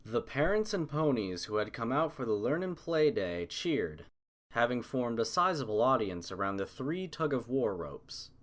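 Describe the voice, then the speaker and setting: A man's voice: medium pitch, sing-song, moderate pace. A man narrating a scripted story as an audiobook reader.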